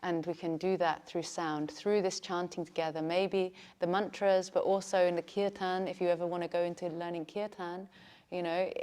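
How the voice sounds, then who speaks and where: A woman's voice: on the low side, little pitch movement, normal speed, hardly any pauses, darkish tone, clear, resonant tone. A woman teaching yoga teacher trainees, talking in a chatty way and laughing often. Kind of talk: teaching a class.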